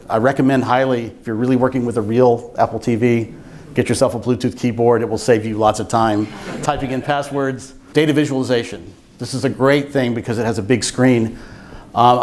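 An older man presents technical material to a meetup audience. He explains in an informal, measured way, with a voice of ordinary male pitch. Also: darkish tone. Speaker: software engineer giving a talk. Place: meetup room with seated audience, indoors.

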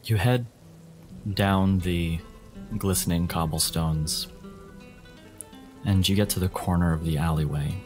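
A man narrating a tabletop game as game master, in a deliberate, dramatic and ominous storytelling style. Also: low-pitched, a little mellow, soft background music. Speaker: game master of a role-playing podcast.

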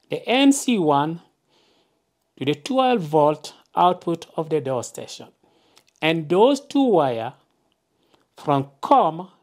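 Woman, low pitch, unhurried slow delivery, tutorial narration, simple step-by-step explaining. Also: a little mellow. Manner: simple step-by-step explaining with frequent checking "okay". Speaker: tutorial presenter. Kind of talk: hands-on instructional narration. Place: indoors, at a wooden work table.

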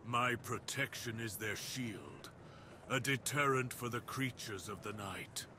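A man's voice: mid-range voice, lively intonation, unhurried, background noise, natural voice. A man voicing a proud, menacing dire wolf beast in an ASMR roleplay, speaking with grave, commanding, theatrical deliberation. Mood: brooding.

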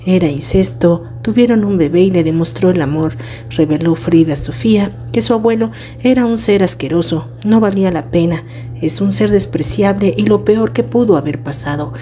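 Mellow woman narrating tabloid news. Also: fairly low pitch, moderate pace, soft background music.

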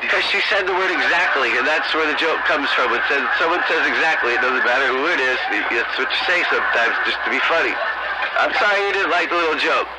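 A man's voice: fairly high pitch, fast. An American man bickering over CB radio in a dismissive, taunting manner. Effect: CB radio transmission. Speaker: CB radio operator. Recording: CB radio with loud static.

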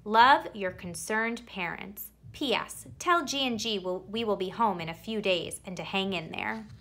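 A woman reading a children's picture book aloud expressively, with theatrical emphasis and drawn-out words. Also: medium pitch, fairly bright tone, slight background noise. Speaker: read-aloud storyteller.

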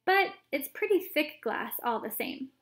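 A woman voicing an eccentric, excitable male chocolate maker who gushes with theatrical exclamations. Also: sits high, pitched above the performer's own voice, full character voice. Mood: exuberant. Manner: gushing, theatrical, rapid exclamations.

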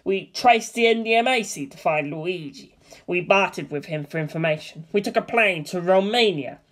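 A man reading a comedy screenplay aloud in a flat, deadpan monotone, announcing scene headings and character names. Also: high-pitched, normal speed. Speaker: reader of a comedy script.

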